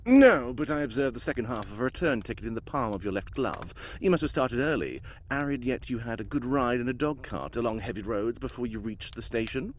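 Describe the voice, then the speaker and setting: A man playing a composed, observant gentleman detective in old-time radio style, speaking with formal, precise courtesy. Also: medium pitch, animated, a little fast, hardly any pauses, a little hiss or hum, natural voice. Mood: assured. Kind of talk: cold-read live old-time radio drama acting.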